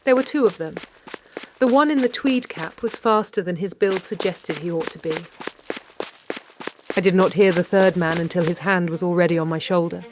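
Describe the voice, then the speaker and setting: An actress playing a wry, brave 1930s London lady detective, narrating in a measured, literary British voice. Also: lowish voice, background noise, lightly acted. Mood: tense, wry. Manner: measured, literary, dryly understated. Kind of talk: scripted first-person audio drama narration.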